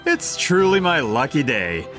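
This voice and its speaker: A man's voice: medium pitch, big pitch swings, unhurried, music in the background. A man playing a courteous, eager book merchant in a video game, polite and effusive in a salesmanlike way. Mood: eager, persuasive. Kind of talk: video game character dialogue.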